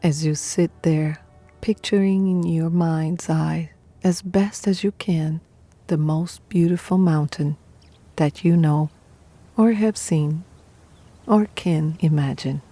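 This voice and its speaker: A woman leads a guided meditation in a low, mellow voice. She is calm and soothing, speaking very slowly in short phrases with pauses between them. Soft music plays behind her. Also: dry recording. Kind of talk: guided meditation, scripted narration.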